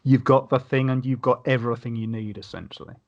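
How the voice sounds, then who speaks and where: A man's voice: mid-range voice, steady tone, fast talker, a little mellow. A man co-hosting a podcast in casual chat, on slightly dull, lo-fi audio. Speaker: podcast co-host.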